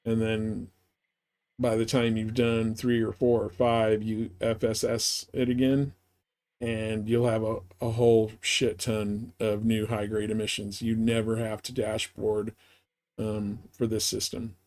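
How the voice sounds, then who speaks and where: A man's voice: lowish voice, little pitch movement, measured pace, a little mellow. A man chatting casually and informally on a live game stream, rambling through his explanations.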